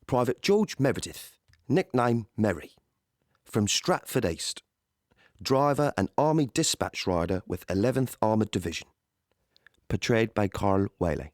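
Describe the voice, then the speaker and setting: Man, lowish voice, scripted cast introduction, echo-free recording. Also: lively intonation, measured pace, studio quality. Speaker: actor announcing a character.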